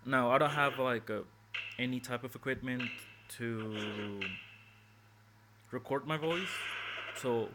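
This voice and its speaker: A man's voice: mid-range voice, slow, background noise. A man casually talking through his game strategy as he plays, thinking aloud with hesitant restarts, recorded on his phone's microphone. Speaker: gameplay commentator. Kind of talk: casual game walkthrough commentary. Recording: phone mic with loud game music behind.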